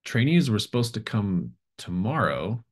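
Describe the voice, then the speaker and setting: A man voicing a confused, hesitant warehouse guard in a tabletop game, halting and unsure. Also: fairly low pitch, sing-song, pitched above the performer's own voice.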